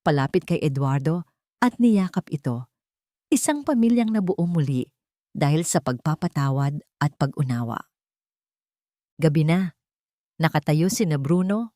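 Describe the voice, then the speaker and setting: A woman storyteller with a slightly low voice narrates a scripted love story in a dramatic style. The recording is very dry, with no room sound. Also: dark tone, no room sound.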